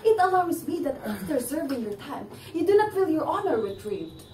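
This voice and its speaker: A woman's voice: high voice, very animated, normal speed, warm tone, a little hiss or hum. A young woman acting in a stage play as a shrewd, probing, taunting and self-assured figure. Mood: challenging. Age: young adult. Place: indoor theatre set, far camera. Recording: echoey distant stage recording with music.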